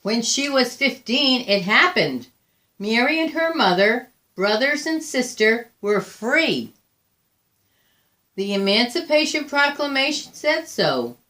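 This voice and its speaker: A woman reading a children's picture book aloud with deliberate, expressive phrasing. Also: fairly high pitch. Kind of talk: read-aloud children's storytelling.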